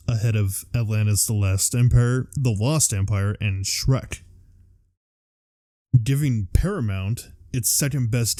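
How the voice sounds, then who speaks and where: A man with a lowish, mellow voice co-hosts a relaxed, off-the-cuff conversation. He sounds tired and wanders loosely from one thought to the next. The sound is dry and close, with no room echo. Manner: rambling, loosely strung thoughts. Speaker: podcast co-host. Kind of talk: casual unscripted chat about films and games.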